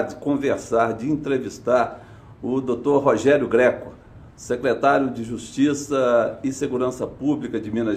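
Man, podcast host, formal, halting, echoey room. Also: mid-range voice, darkish tone. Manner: formal, halting with repeated words. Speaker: podcast host, police chief. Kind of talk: interview hosting.

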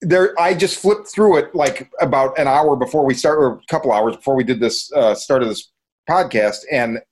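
A man's voice: medium pitch, moderate pace. Enthusiastic, rambling man hosting a podcast. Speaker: podcast host. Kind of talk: casual review chat.

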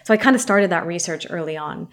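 A woman's voice: fairly low pitch, fast. A woman from San Francisco whose first language was Arabic, talking casually as an interview guest with many fillers. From San Francisco, USA. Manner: chatty, many likes and you-knows.

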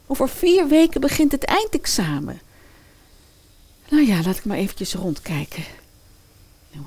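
Radio-play heroine: woman as naive teen princess, chatty and indignant, medium pitch, animated. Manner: wide-eyed, chatty, muttering to herself. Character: naive, indignant teenage schoolgirl, a modern fairy-tale princess. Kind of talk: Dutch fairy-tale radio play acting.

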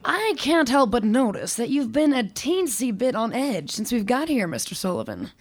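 Woman acting female psychic, highish pitch, haughty, aloof, dry delivery, needling, radio drama. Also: sing-song, normal speed.

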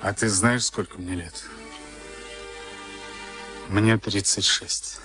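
An actor in a Soviet radio play as a moody, weary boxer of thirty-six. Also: male voice, fairly low pitch, steady tone, slow, sharp tone. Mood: weary, earnest. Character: moody, weary 36-year-old professional boxer and former prisoner. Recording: archival radio recording with music behind.

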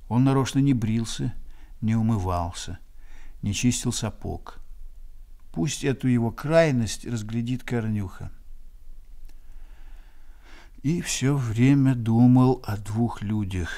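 A man narrating a radio drama in measured, literary storytelling. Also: mid-range voice, dark tone.

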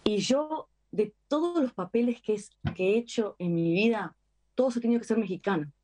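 An actress with an Argentine accent chatting casually in an interview, full of fillers and lively retellings. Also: female voice, medium pitch, normal speed. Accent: Argentine Spanish. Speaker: actress guest.

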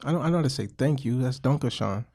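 A man's voice: mid-range voice, expressive, quick pace, darkish tone. A man raised in the American South, co-hosting a podcast in laid-back casual chat with frequent short interjections. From born in Germany, raised in the US South. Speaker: podcast co-host.

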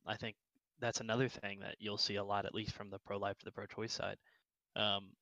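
A man joining a casual podcast discussion, talking in a relaxed, hesitant way with many fillers. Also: on the low side, no room sound, rough recording. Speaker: podcast guest.

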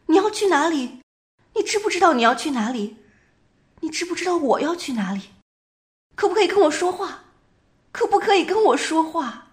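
A woman playing a troubled half-French wife who recounts a recurring dream in a measured amateur radio play monologue, with deliberate phrasing and pauses. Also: very high-pitched, big pitch swings. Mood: pleading, desperate.

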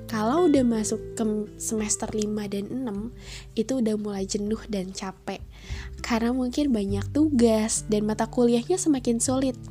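A woman's voice: a little high, moderate pace, dark tone, faint music behind. A young woman, talking in a friendly, chatty podcast style, her voice nasal and stuffy from a cold. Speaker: podcast host. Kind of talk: casual solo podcast talk.

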